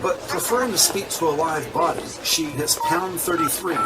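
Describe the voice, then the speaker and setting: A man's voice: on the high side, normal speed, heavy background noise. A man reading scripted dramatic narration for an audio drama.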